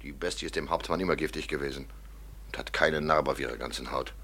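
An actor playing a hot-tempered, loyal robber in a radio drama, blustering and full of scorn. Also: male voice, rumbling low, fairly even intonation, fast, vocal fry, pushed and bright, heavily acted. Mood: gruff, commanding. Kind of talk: classic German radio drama acting.

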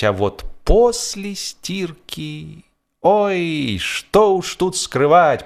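Man narrating humorous literature, music behind. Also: mid-range voice. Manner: expressive, wryly ironic storytelling. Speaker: audiobook narrator.

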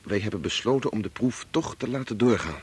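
A married doctor in a radio drama, earnest and weighed down. His voice is low and very hoarse-edged, with lively rises and falls, and he feels his way hesitantly through his words. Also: fairly quick. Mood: urgent. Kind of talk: Dutch radio play acting.

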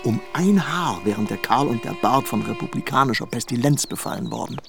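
An actor playing a gruff, blunt traveling companion in a radio play. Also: male voice, medium pitch, animated, fairly quick, over music.

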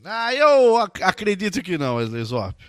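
A man's voice: high-pitched, huge pitch swings, normal speed, dry recording, studio quality. A man co-hosting a podcast, making sarcastic jokes and teasing in casual chat over a remote call. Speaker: podcast co-host. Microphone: remote call recording.

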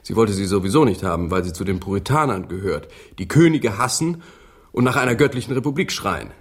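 An actor in a radio drama playing a dry, disapproving gentleman doctor with a formal, pedantic manner. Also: lowish voice, very animated, a little fast, breathy edge, exaggerated voice.

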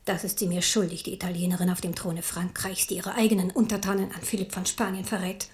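A woman acting a proud, devout queen mother, embittered and resolute, with a grave, measured delivery in a German radio play. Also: fairly low pitch, flat delivery, close to an everyday voice. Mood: resolute, solemn.

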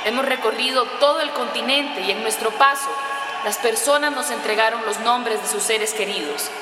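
A woman's voice: a little high, normal speed, natural voice. Echoey, earnest woman actor addressing a gathering. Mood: solemn, earnest. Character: earnest woman traveller addressing a festival crowd. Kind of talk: radio drama acting, solemn speech. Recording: echoey hall sound, music behind.

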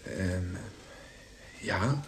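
A man's voice: low-pitched, slightly rough, exaggerated voice. An actor playing a shy, lonely young man in a crime radio play, answering hesitantly and awkwardly. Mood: shy, flustered. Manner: hesitant, halting short answers. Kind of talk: 1990s German crime radio play acting.

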